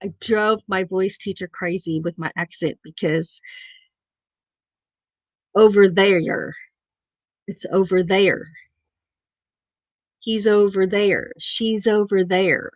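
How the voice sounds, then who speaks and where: Livestream host: chatty woman with a southern Missouri drawl, speaks slowly, dry webcam sound. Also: medium pitch, steady tone, dry recording. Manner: chatty, reads viewer chat aloud. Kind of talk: casual live chat.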